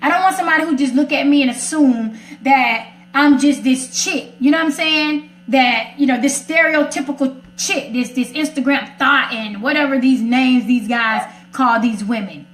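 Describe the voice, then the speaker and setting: A woman's voice: sits high, normal speed, few pauses, fairly bright tone. An American woman hosting a casual radio chat, outspoken, sassy and emphatic as she gives her opinions. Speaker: radio segment host. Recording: roomy echo.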